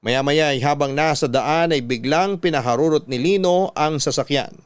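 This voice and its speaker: A man's voice: fairly high pitch, normal speed, clean tone, no echo. A man narrating a scripted, dramatic first-person love story as a radio storyteller. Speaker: narrator reading a letter sender's story. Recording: dry studio voice over music.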